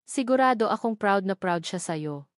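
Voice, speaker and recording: A reserved young Filipino husband in a romantic audio story, read by a woman narrator. He is serious yet gentle and speaks in brief, soft-spoken lines. The recording is close and dry. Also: mid-range voice, animated, moderate pace, no room sound, close to an everyday voice.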